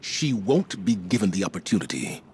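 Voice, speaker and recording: An actor playing a stoic, authoritative older military commander in a video game, measured and resolute. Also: male voice, low-pitched, sing-song, a little mellow, slight background noise. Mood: resolute, grave.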